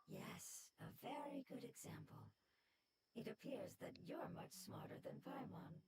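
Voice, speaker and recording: A calm, breathy ancient being. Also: female voice, on the high side, whispered, full character voice, low-quality recording. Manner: calm, gently breathy and measured. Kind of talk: video game voice acting.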